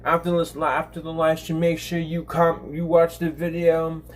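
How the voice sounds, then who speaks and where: A man in his twenties hosting a casual livestream, talking to viewers in a halting, repetitive way with frequent restarts. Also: fairly high pitch, little pitch movement, a little hiss or hum. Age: 20s. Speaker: live streamer, channel host.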